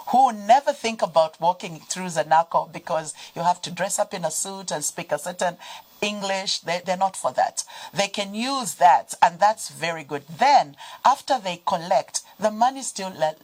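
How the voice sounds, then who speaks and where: A Zambian woman speaks as an interview guest. Her voice sits fairly low, and she explains conversationally, putting emphasis on her points. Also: a little mellow, rough recording. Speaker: panel guest.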